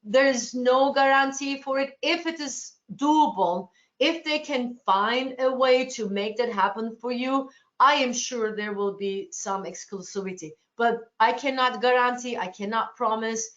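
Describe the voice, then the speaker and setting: A woman's voice: a little high, a little slow, fairly bright tone. A woman from Turkey answering agents' questions in a webinar, restarting sentences often in a reassuring, accommodating way. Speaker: cruise sales presenter. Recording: lo-fi webinar audio.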